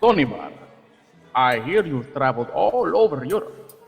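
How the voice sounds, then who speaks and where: An actor playing a genial, formal dinner companion in a radio drama, polite and ceremonious. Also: male voice, medium pitch, sing-song, normal speed, gravelly. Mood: hearty, ceremonious. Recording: long hall reverb, music underneath.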